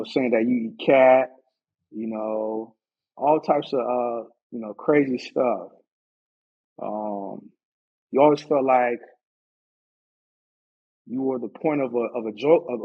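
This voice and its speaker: A man with an American accent, talking casually as an interview guest, haltingly repeating phrases as he recounts his experience. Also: mid-range voice, phone-quality sound. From Orlando, Florida, United States. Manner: halting, repeats phrases, checks in with "you know". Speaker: podcast guest, martial arts instructor. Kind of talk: casual conversational storytelling.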